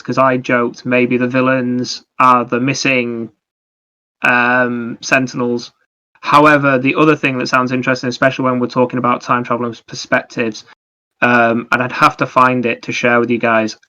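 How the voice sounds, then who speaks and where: A man's voice: medium pitch, sharp tone, highs cut off. A man co-hosting a podcast in casual conversation, explaining ideas in a rambling, anecdotal way. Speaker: podcast co-host. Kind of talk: casual chat about video games and ideas.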